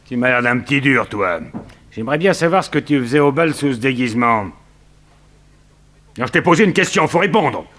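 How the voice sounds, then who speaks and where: A man playing a menacing, excitable gang boss in a 1960s French radio crime serial, bossy and theatrically exasperated. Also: medium pitch, brisk, long pauses, natural voice.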